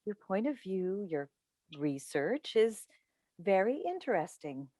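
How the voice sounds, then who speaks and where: A woman's voice: medium pitch, very animated, takes it slowly, dark tone, no room sound. A woman in a staged play reading, playing a seasoned, composed talk show host and mother who tries to placate people. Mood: placating, professional. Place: indoors on a Zoom call. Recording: dry video call recording.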